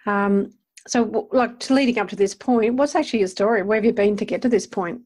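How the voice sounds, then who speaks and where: A woman's voice: mid-range voice, a little fast, darkish tone. A woman hosting an interview podcast, introducing her guest and putting questions to them.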